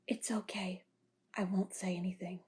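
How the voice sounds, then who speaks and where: A woman's voice: medium pitch, flat delivery, normal speed, darkish tone, husky. A woman playing a sly policewoman who talks in a sly, teasing way in an amateur audio drama.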